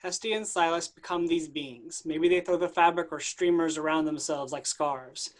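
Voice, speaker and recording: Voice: medium pitch, normal speed. A reader narrating stage directions plainly at a staged play reading.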